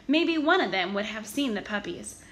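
A woman reading a children's story aloud in an expressive, animated storytelling manner with emphatic stresses. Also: mid-range voice, normal speed. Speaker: storybook reader.

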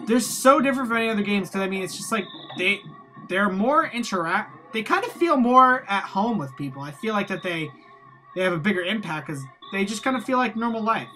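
Bright chatty let's-play voice. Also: mid-range voice, low-quality recording. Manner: rambling, chatty, reads game text aloud. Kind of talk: casual gameplay commentary. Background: faint game music.

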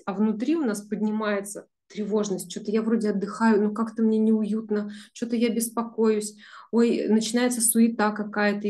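A woman's voice: medium pitch, mellow. Earnest woman explaining on a video call. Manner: earnest, flowing explanation with many fillers. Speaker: business psychologist leading a group session. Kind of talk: online group talk, explaining.

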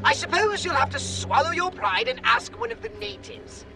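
A man's voice: very high voice, animated, normal speed, low-quality recording. A man voicing a fussy, scheming alien commander in a video game, with pompous, theatrical, wordy delivery. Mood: wry, exasperated. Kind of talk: video game cutscene acting. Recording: music behind the voice.

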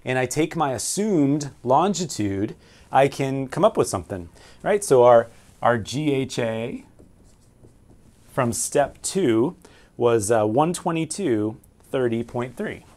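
Man in his forties, mid-pitched, a navigation instructor working through problems step by step at a whiteboard. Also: animated, slow, a little mellow. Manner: explains step by step, asks and answers his own questions. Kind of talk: whiteboard lesson. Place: small room with framed pictures and signal flags.

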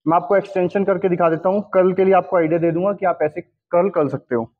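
A man's voice: high voice, steady tone, fast talker. A man with an Indian accent, a gym equipment seller, walking through a product demo in his showroom, explaining each station while switching between Hindi and English terms. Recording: long hall reverb, dull lo-fi sound.

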